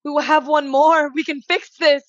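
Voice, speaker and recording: A woman's voice: sits high, little pitch movement, normal speed, no room sound, highs cut off, natural voice. A woman acting an elderly, grieving mother in a radio play, emotional and pleading. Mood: frantic, urgent. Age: older. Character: elderly grieving mother, anxious, desperate and insistent.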